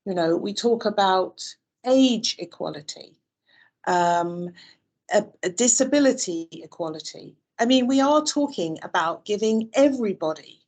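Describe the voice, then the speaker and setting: A woman from the UK answering interview questions on a video call, thoughtful, with many fillers and restarts. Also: medium pitch. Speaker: interview guest. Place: Dubai.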